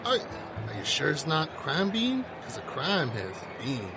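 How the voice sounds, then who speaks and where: A man playing a slow-witted, laid-back street cop with a muddled drawl. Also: fairly high pitch, huge pitch swings, creaky, heavy background noise, full character voice. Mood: deadpan. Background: background music.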